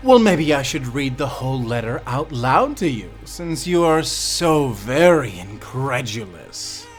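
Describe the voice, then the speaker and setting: An actor playing a cruel, gloating prison warden who taunts theatrically in an audio drama. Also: male voice, mid-range voice, very animated, measured pace, faint music behind. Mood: mock reading. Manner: mocking, theatrical, drawling.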